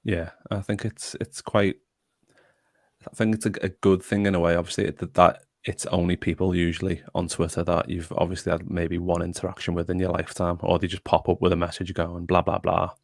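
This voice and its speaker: A man's voice: sits low, level tone, a little fast, vocal fry, no echo, studio quality. A young man hosting a casual podcast chat and reading out viewer comments. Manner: chatty, reads out viewer comments. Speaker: podcast host.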